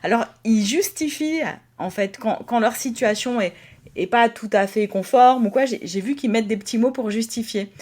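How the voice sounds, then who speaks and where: A woman's voice: a little high, moderate pace, a little mellow. Reflective woman from Alsace, conversational. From Alsace, France. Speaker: podcast co-host and Protestant pastor. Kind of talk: conversational podcast talk.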